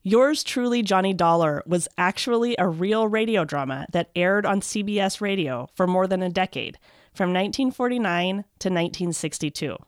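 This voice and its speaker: A woman with a mid-pitched voice reads scripted radio narration as a reporter. The recording is dry and close, like a studio. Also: lively intonation, normal speed, no room sound. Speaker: education reporter and podcast host.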